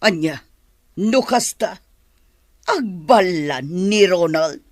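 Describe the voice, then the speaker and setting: A woman's voice: on the low side, expressive, takes it slowly, dry recording, studio quality. A woman with an Ilocano accent playing an anxious madam in a radio drama. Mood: worried, suspicious. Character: anxious older madam blamed for a family tragedy.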